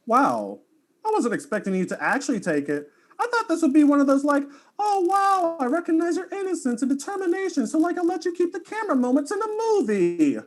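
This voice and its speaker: A man's voice: extremely high pitch, very animated, brisk. A man playing a vain, theatrical streamer in a comic audio drama, with a flowery, melodramatic delivery. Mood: sheepish, excitable.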